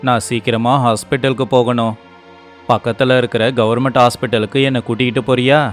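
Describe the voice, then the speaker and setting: A man voicing a busy, authoritative doctor in a storybook tale, brisk in manner. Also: medium pitch, flat delivery, soft background music, natural voice. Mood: hurried.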